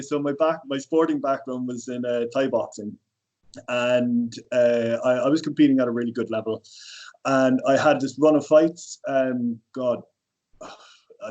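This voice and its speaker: A man's voice: medium pitch, darkish tone, rough recording. An Irish man in his thirties, a personal trainer, talking casually as a podcast guest with lots of fillers and restarts. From Ireland. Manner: rambling, many fillers and restarts.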